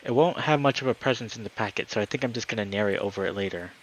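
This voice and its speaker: A man's voice: fairly low pitch, racing, a little hiss or hum, rough recording. A man speaking as a live streamer, moving between friendly chat and reading a story aloud. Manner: upbeat, friendly, excitable when greeting viewers.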